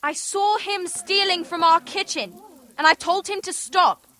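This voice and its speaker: A young highborn girl in a fantasy game. Her voice is very high and youthful. She is feisty, indignant and openly defiant. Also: a little slow, bright, forceful tone, heavily acted. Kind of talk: dramatic video game acting.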